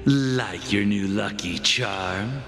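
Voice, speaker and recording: A man's voice: fairly low pitch, slow, gravelly. A man voicing a cruel, gloating slave owner in a video game, with a drawling, sneering delivery. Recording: long reverb, music underneath.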